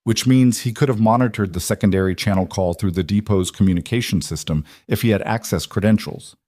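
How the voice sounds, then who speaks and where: A man voicing a grave, guarded mafia boss in an audiobook, with slow, terse delivery. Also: on the low side, darkish tone, no echo, clean studio sound, close to an everyday voice. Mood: grim, calculating. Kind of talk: audiobook character dialogue.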